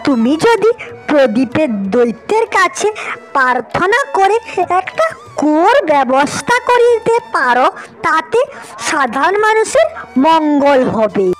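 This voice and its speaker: A kind village wife, acted by a woman, speaks in an extremely high, animated voice. She advises earnestly and sensibly, in fairy-tale cartoon style. Faint music sits behind her. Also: unhurried, rough recording. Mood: earnest, caring.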